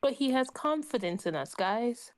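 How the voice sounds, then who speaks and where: A woman's voice: a little high, expressive, normal speed, dry recording, clean studio sound. A woman chatting casually and describing her character as a player in a tabletop game. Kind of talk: casual chat and roleplay narration.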